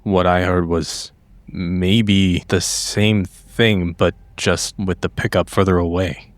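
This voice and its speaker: Deep-voiced man at a game table. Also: flat delivery, takes it slowly, dry recording. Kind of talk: in-character improvised roleplay and casual game talk.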